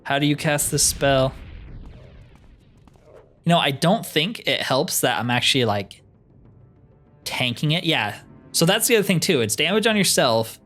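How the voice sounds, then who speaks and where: A man's voice: medium pitch, fairly bright tone. A man giving casual gameplay commentary in a laid-back way, musing to himself as he plays. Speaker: gaming streamer.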